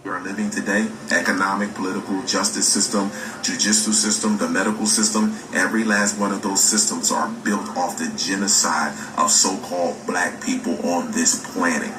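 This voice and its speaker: A man's voice: low-pitched, level tone, normal speed, hardly any pauses. Echoey, impassioned man in his forties. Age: 40s. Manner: emphatic, repeats phrases, challenges the listener, swears. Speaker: speaker on a spiritual talk video. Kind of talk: impassioned, unscripted preachy talk. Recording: echoey, distant mic with music behind.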